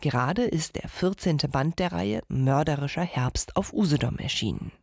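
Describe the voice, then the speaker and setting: A woman reporter reads a scripted radio feature. Her pitch moves a lot and her voice has a gravelly, husky grain. The delivery is measured. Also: lively intonation, a little mellow, no echo, clean studio sound.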